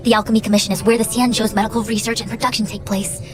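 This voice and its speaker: An actress plays a haughty young woman diviner with a high voice, crisply formal and imperious. She speaks as a game character used to command and easily exasperated, over a clear music bed. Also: flat delivery, rapid-fire, lightly acted. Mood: grave, brisk. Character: haughty, brisk young female master diviner, authoritative and exasperated. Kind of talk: scripted video game voice acting.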